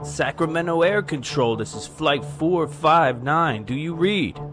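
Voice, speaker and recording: A man playing a grave, steady airline captain in an audio drama, terse and formal. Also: on the high side, a little slow. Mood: grave, urgent. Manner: terse, formal radio procedure. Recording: music behind the voice.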